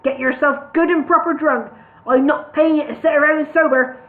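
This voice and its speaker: A woman voicing a loud, bullying male brewery boss with a gravelly, bellowing voice, on a tinny phone-like recording. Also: a little high, fairly even intonation, normal speed, lightly acted. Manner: bossy, shouting demands.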